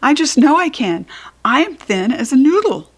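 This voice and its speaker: A woman voicing an excitable, pleading little girl in a children's storybook, dramatic and stubborn, with emphatic childlike phrasing. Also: high voice, normal speed, voice pushed up.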